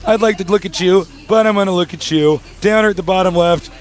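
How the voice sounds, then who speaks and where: A man's voice: sits high, fairly quick. A young man doing live esports play-by-play in a packed studio, hyped, joking and announcer-like. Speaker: esports commentator.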